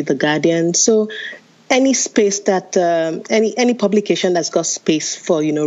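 A woman from Nigeria speaks as an interview guest in a low-pitched voice. Her audio sounds dull and lo-fi, and she answers in a friendly, chatty manner. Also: a little mellow. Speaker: travel writer and photographer guest.

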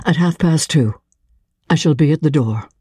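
An ardent young society gentleman in a period novel, voiced by a woman, pressing his case in a low, urgent way. The voice is very husky and mellow, recorded close and dry. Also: lively intonation, normal speed, dry recording, full character voice. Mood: explaining, pressing. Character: ardent, insistent young gentleman lover of old New York.

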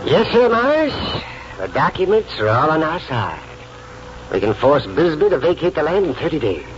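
Scornful American businessman, brisk manner, highish voice, lively intonation, old radio drama. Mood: brisk, confident. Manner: brisk, contemptuous. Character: brusque, scornful male oil company client. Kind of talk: 1940s radio drama acting. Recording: dull, faintly noisy 1940s radio recording.

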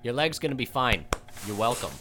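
An actor playing a gruff, blunt worker who teases dryly in an audio drama. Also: male voice, medium pitch, expressive, brisk, a little hiss or hum, lightly acted. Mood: teasing. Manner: blunt, dry teasing. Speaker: lightning rig worker.

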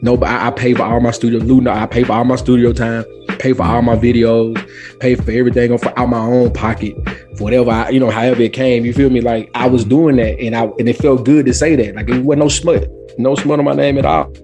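A man's voice: medium pitch, fairly even intonation, quick pace. A man, telling stories casually as a podcast guest, emphatic and repeating phrases for effect. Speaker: podcast guest, former rapper. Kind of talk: casual storytelling. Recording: music playing behind the voice. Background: music.

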